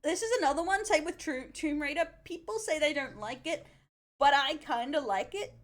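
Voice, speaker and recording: A woman's voice: high voice, normal speed. An Australian woman chatting casually on a livestream, giggly, blunt and crude in her joking. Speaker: streamer reacting to a video.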